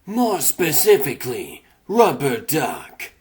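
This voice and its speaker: Man playing a pompous, slow-talking cat crime boss. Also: medium pitch, huge pitch swings. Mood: smug, menacing. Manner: pompous, verbose, formal diction. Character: suave pompous male cat crime boss, wordy and menacing. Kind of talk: comic dub voice acting.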